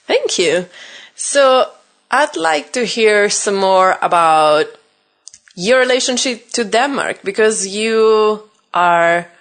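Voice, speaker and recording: A woman with a mid-range voice interviews a podcast host in relaxed conversation. She keeps her questions short and teasing. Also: medium pitch, slow. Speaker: guest interviewer. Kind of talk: casual conversation.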